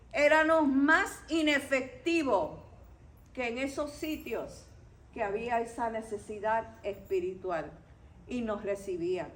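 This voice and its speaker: A woman's voice: fairly high pitch, rough recording. A woman from Puerto Rico with a Puerto Rican accent, teaching a Bible study to a church group in a didactic way, stressing key points.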